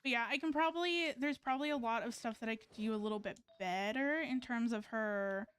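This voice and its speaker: A woman's voice: fairly high pitch, brisk, a bit bright, clean tone. A woman live streamer chatting casually to viewers while she plays a game, hesitant and thinking aloud as she goes.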